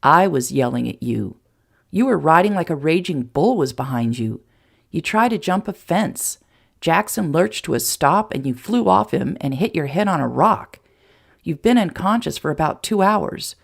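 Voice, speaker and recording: Woman voicing a gentle, worried young man. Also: lively intonation, normal speed, vocal fry, heavily acted. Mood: worried, tender. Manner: low, soft, concerned.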